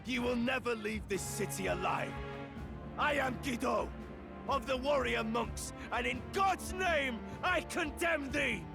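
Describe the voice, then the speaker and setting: Pompous, high-pitched vengeful warrior monk. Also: male voice, measured pace, vocal fry, over music, full character voice. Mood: furious, condemning. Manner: declamatory, pompous.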